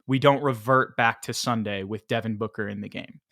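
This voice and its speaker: A man's voice: on the low side, steady tone, fairly quick, a bit bright, dry recording, studio quality. A man co-hosting a sports podcast, chatting casually and animatedly, laughing often and quoting others in playful voices. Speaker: podcast co-host.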